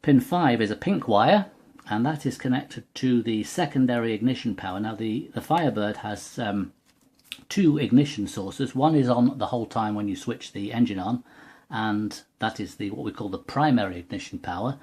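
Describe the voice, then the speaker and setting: Man, lowish pitch, husky voice, precise hands-on tutorial delivery, methodical explanations. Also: normal speed, darkish tone. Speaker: presenter of a technical tutorial. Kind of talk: step-by-step hands-on product demonstration.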